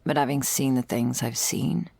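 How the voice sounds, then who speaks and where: A woman playing a jaded, sardonic vampire's servant in an intimate ASMR roleplay, talking in a wry, world-weary, gravelly way. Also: very deep voice, moderate pace, darkish tone, no echo, full character voice. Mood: dry, musing. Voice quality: very creaky, gravelly. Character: jaded, sardonic female vampire's servant explaining undead lore.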